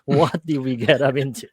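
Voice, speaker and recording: A man's voice: medium pitch, normal speed, a little mellow, breathy edge, no echo, clean studio sound. A middle-aged man with a Filipino accent, co-hosting a casual streamed talk show in an upbeat manner with frequent fillers. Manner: upbeat, hesitant with many fillers. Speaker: podcast co-host. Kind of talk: casual chat.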